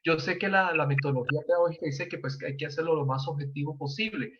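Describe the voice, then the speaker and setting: A man's voice: a little high, steady tone, quick pace, noisy background. A man speaking as a priest on a panel, explaining emphatically with repeated phrases and short check-in questions. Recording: slightly dull, lo-fi sound.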